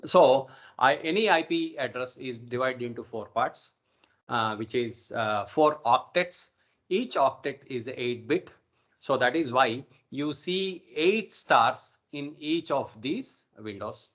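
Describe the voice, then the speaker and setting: A man's voice: medium pitch, expressive, slow, fairly bright tone. A man with an Indian accent giving an informal lecture as an instructor on an online call, often checking in with okay and right. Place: online video call recording.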